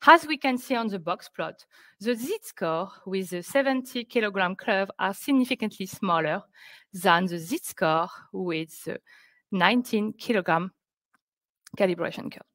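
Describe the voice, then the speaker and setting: A woman presenting scientific results on a webinar, speaking careful, halting English. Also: mid-range voice, animated, a bit bright, no echo. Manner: careful, halting non-native English delivery. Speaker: researcher presenting project results. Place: indoors on a video call.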